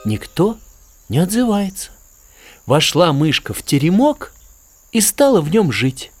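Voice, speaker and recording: Slow, animated male fairy-tale storyteller. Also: high voice. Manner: theatrical, measured storytelling. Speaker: storyteller narrator. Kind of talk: expressive children's fairy-tale narration. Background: children's music.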